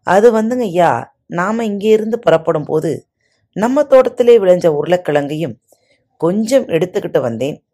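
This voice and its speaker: A woman's voice: lowish voice, animated, normal speed, dark tone, voice pushed up. A woman voicing a simple, humble male servant who answers his master politely, in a storybook reading.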